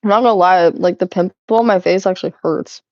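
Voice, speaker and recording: A woman's voice: on the low side, quick pace, darkish tone, no room sound. A young adult woman streaming while she cleans, chatting loosely with her viewers, giggling and swearing often. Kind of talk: casual live-stream chat while cleaning. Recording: slightly muffled, dry sound.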